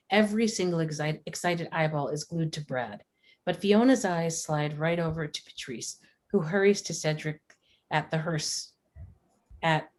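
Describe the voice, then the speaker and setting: A woman's voice: deep voice, darkish tone. A woman reading scripted stage directions as the narrator of a table read.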